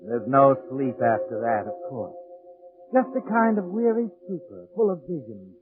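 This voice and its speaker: A man's voice: medium pitch, animated, normal speed. A man narrating a 1940s radio suspense drama in an ominous, insinuating way. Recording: old 1940s radio, narrow muffled band. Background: dramatic music.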